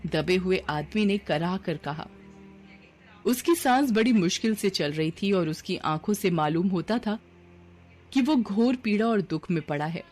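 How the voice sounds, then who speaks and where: A woman's voice: medium pitch, expressive, measured pace, darkish tone, soft background music. A woman narrator reading a scripted story for a cartoon video.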